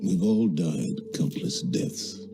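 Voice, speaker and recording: A man playing a grieving, battle-worn commander in a game monologue, with a halting, weighty delivery. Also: low-pitched, wildly swooping pitch, full character voice. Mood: solemn tribute. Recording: music behind.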